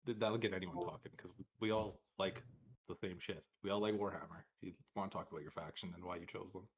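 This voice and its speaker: A man with a lowish voice talks casually on a podcast panel. There is faint noise behind him, with small clicks on the recording. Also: fairly even intonation, quick pace. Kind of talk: casual chat.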